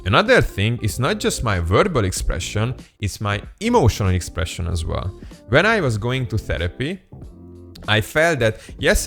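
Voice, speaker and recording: A man's voice: fairly low pitch, fairly bright tone. Earnest young man with Hungarian roots. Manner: earnest, upbeat, with small laughs and restarts. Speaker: vlogger. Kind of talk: casual direct-to-camera talk.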